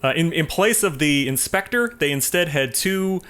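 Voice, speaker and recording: A man's voice: a little high, animated, normal speed, fairly bright tone, smooth. A man co-hosting a casual film discussion, explaining details and correcting himself as he goes. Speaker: podcast co-host.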